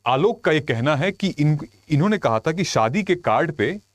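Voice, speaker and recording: A man's voice: fairly high pitch, expressive, normal speed, dry recording. Man explaining in informal style. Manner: conversational storytelling with frequent check-in tags. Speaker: UPSC exam coach and video host. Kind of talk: informal explainer commentary to viewers.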